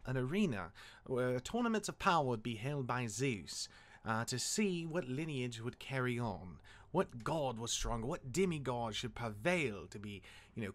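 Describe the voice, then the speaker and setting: Man as a demigod big-brother mentor, lively pitch. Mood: casual explaining. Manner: conversational, instructive, hesitant asides. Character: chatty demigod elder brother, patient but fallible mentor. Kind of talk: fantasy audio drama acting.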